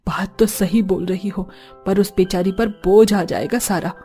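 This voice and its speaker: A woman's voice: medium pitch, steady tone, measured pace, mellow, soft background music, pitched below the performer's own voice, lightly acted. A woman voicing a scolding, threatening, exasperated elderly mother in a cartoon. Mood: grudging. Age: older.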